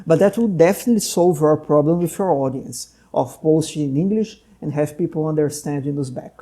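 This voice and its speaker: Mellow-voiced male host. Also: on the high side, a little fast. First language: Portuguese. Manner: chatty and articulate, with long explanations. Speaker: podcast host, medical doctor. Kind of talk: casual interview conversation.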